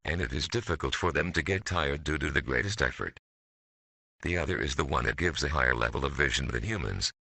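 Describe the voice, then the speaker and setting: A man's voice: a bass voice, gravelly, pushed and bright, slightly rough, dry recording, exaggerated voice. A man voicing a detached military scientist, reading a formal, stilted analog horror narration. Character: male military scientist coldly recounting his mutant experiments.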